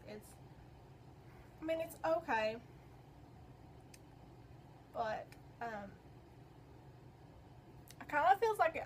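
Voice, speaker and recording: A young woman with a slightly hoarse voice, chatting her way through a makeup tutorial in a rambling, filler-heavy way. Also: fairly high pitch. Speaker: beauty vlogger. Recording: noisy home recording.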